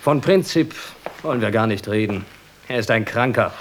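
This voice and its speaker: An actor in a radio drama playing a cautious twenty-five-year-old leader of conspirators, admonishing and insistent. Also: male voice, lowish voice, expressive, normal speed, natural voice. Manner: admonishing, insistent, condescending to younger comrades.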